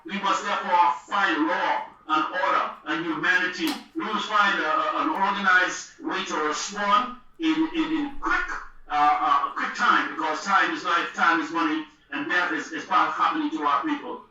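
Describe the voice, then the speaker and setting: A man's voice: high-pitched, normal speed, bright, forceful tone. A man giving impassioned political commentary as a talk show guest, speaking emphatically and repeating words for effect. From the Bahamas. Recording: distant, dull, very echoey sound.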